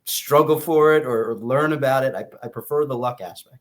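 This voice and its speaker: A male podcast co-host with an American accent speaks in a relaxed chat format. His pitch is average for a man, and his delivery is that of informal back-and-forth between friends. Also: fairly quick. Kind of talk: casual banter between friends.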